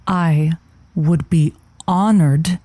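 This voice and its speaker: A woman playing a game but halting advice-show host in improvised radio comedy, repeating herself and using showily learned words. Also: fairly low pitch, fairly even intonation, warm tone, dry recording. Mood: game, amused.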